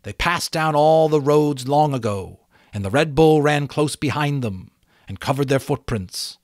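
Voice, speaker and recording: A man voicing a flighty, whimsical male butterfly who sings and recites in a drawn-out, theatrical way. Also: on the high side, lively intonation, no echo, clean studio sound, pitched above the performer's own voice. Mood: urgent. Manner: sing-song, drawn-out, theatrical.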